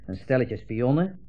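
An actor playing a smug, insinuating army captain in a 1960s radio play, hemming as he puts condescending, leading questions. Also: medium pitch. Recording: muffled old radio recording, narrow band.

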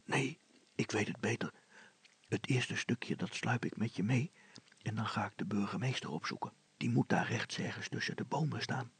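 A man playing a grumpy, cautious father who speaks in a hushed, grumbling tone. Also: normal speed, slightly rough. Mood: gruff, hushed. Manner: hushed, grumbling, low-key. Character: grumpy but cautious father, older than his boy.

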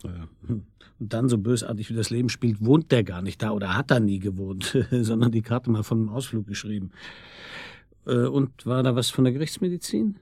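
Police detective, husky voice, dry studio sound, wry, hesitant, probing, radio drama. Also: male voice, medium pitch, fairly quick, darkish tone, no room sound. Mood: wry, pondering. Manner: wry, hesitant, thinking aloud. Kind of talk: naturalistic German radio drama.

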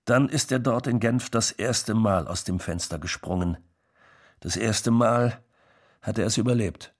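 An actor playing a sober witness in a scripted radio drama, with a gravelly, husky voice. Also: male voice, fairly low pitch, unhurried. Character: sober witness recounting a star's breakdown.

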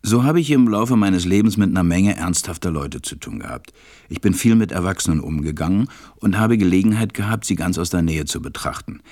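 An actor playing a grown pilot who wistfully recalls his life as a storyteller in a radio play, measured and reflective. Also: deep voice, lively intonation, darkish tone. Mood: wry, reflective.

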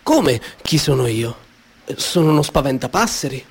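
A man voicing a tired, gentle old scarecrow in a storybook reading, talking in a flat, sighing way. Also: mid-range voice, moderate pace, husky. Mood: puzzled. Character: old weary male scarecrow, gentle and resigned.

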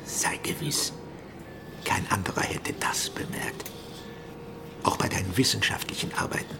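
An actor in a German radio drama playing an encouraging scientist mentor who speaks with hushed, coaxing intensity. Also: male voice, low-pitched, expressive, measured pace, vocal fry, in a whisper, exaggerated voice.

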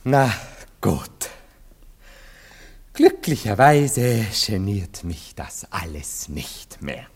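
An actor playing a vain, jealous gentleman lover in a German radio play, excitable, mocking and prone to sputtering. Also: fairly low pitch, extremely slow, breathy edge, full character voice. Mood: haughty, vengeful.

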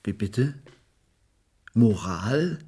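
An actor playing a blunt, passionate technician in a science-fiction radio play, with a rough, husky voice. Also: male voice, fairly low pitch, lively intonation, drawn-out words, mellow, full character voice. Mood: indignant. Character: blunt, passionate, impulsive male virtual-reality technician. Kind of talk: German radio play acting.

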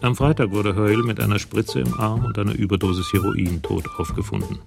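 An actor narrating a radio crime play soberly and matter-of-factly. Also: male voice, lowish voice, fairly even intonation, brisk, gravelly, over music.

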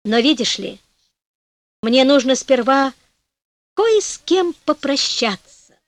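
A woman voicing a kind, wise old wanderer who speaks gently and calmly. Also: sits high, slow pace, no room sound, exaggerated voice. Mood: gentle.

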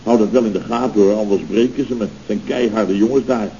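An actor playing a self-pitying, blustering boss of a building firm, pompous and melodramatic, in an old radio comedy. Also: male voice, on the low side, steady tone, quick pace, mellow, lightly acted. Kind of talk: 1970s Dutch radio comedy acting. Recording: dull, noisy old radio recording.

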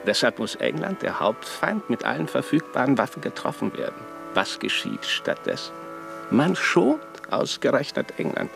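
A scheming bishop in a vintage radio play, his voice heavily gravelly with fry. He lectures with cool condescension, pitched squarely in the middle, while soft music sits underneath. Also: huge pitch swings, normal speed, full character voice. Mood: lecturing. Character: haughty scheming bishop, older man, commanding.

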